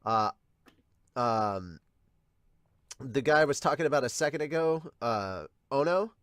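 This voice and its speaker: A man's voice: medium pitch, animated, a little slow, fairly bright tone, smooth, dry recording, clean studio sound. A man chatting casually as he reacts to a manga, often amused as he explains things. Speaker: podcast host reacting to manga. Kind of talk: casual reaction chat with manga read-aloud.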